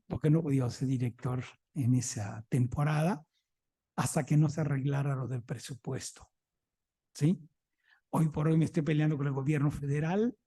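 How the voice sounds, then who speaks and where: A man's voice: mid-range voice, warm tone. A man with a Mexican Spanish accent giving a conference talk as a museum director, chatty and anecdotal, often checking in with listeners.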